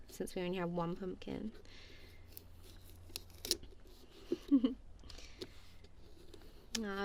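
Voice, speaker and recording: A woman's voice: mid-range voice, gravelly, slight background noise, close to an everyday voice. A woman playing a goofy, affectionate friend in an ASMR roleplay, chatting casually in a playful, giggly, rambling way. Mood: teasing, chatty.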